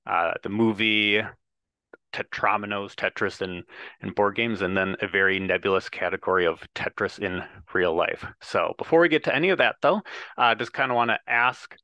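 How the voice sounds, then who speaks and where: Low-pitched man with a dry, close sound. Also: moderate pace, sharp tone, no echo. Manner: rambling, hedging, many fillers. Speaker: podcast host. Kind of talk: casual interview hosting.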